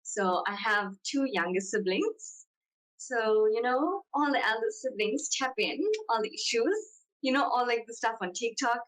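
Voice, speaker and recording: A woman's voice: on the high side, lively intonation, a little slow, a bit bright, low-quality recording. A young woman with a South African accent, chatting casually as a podcast guest in a self-deprecating, talkative way. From South Africa, Johannesburg and Durban. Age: young adult. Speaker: podcast guest, content creator. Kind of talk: casual interview chat.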